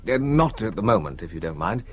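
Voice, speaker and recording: A charming young man from London woos his guide with gentle, earnest words. His voice sits in a middle register and moves expressively. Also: on the low side, sing-song, a little fast, husky. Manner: charming, wooing. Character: romantic young English visitor. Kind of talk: 1960s radio drama acting.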